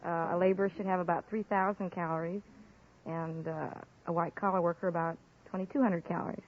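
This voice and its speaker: A woman's voice: on the low side, flat delivery, fast. Earnest American woman, tinny old radio. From San Francisco. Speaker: quiz show contestant, hospital diet worker. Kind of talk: conversational interview answers. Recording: old radio broadcast, tinny, faint noise.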